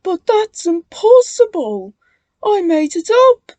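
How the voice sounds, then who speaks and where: A woman voicing Bill, a cheeky young male twin tank engine, in a squeaky, panicky voice. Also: lively intonation, takes it slowly, dark tone, dry recording. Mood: frightened.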